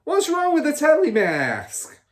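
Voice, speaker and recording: A man voicing a boastful, bullying car-dealer father in a storybook, loud and scornful. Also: very high-pitched, huge pitch swings, moderate pace, pitched above the performer's own voice, full character voice. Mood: angry, blustering.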